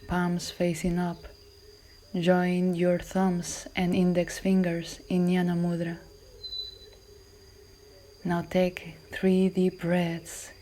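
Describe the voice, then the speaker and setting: A woman yoga instructor guiding a class in a calm, measured, soothing voice that is soft and at times breathy. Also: on the low side, slight background noise. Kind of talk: guided yoga and relaxation instruction.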